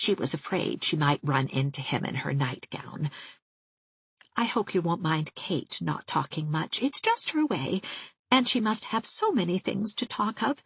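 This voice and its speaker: A woman as a chatty, husky elderly aunt. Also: lowish voice, expressive, normal speed, phone-quality sound, heavily acted. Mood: chatty, earnest. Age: 60s. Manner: rambling, confiding, genteel. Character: gentle, chatty, timid elderly widow aunt. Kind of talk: audiobook character acting. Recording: roomy, thin band-limited sound.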